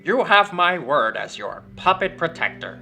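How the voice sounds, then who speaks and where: A man's voice: fairly high pitch, very animated, a little slow, vocal fry, bright, forceful tone, faint music behind, pitched above the performer's own voice, full character voice. A man voicing an overeager living puppet in a horror story, friendly yet faintly sinister, with theatrical, exaggerated delivery. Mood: soothing, protective.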